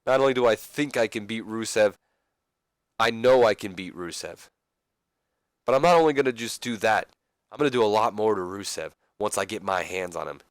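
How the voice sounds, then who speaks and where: A man performs a determined wrestler out for revenge. The delivery is a firm, threatening promo in a lowish, dry voice. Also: expressive, moderate pace, clean tone, no echo. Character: determined, vengeful male wrestler threatening his rival.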